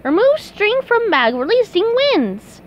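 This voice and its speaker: A woman voicing an eager, clueless, excitable cartoon sponge boy in a storybook reading, with noise behind the voice. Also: very high voice, a little slow, muffled recording, pitched above the performer's own voice, heavily acted.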